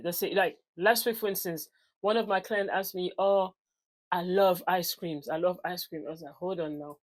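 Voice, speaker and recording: A woman in her forties, raised in France, speaking English with a French accent mixed with African tones. She is a personal trainer talking casually to camera, chatty and unscripted, correcting herself as she goes. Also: mid-range voice. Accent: French with African influence. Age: 40s. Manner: chatty, loose and unscripted, with many fillers and self-corrections.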